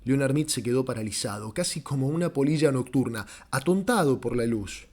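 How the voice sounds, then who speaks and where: A male storyteller reads literary fiction for an audiobook. His voice sits at a middle pitch, and the delivery is scripted and dramatic. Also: expressive, brisk, a little mellow. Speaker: audiobook narrator.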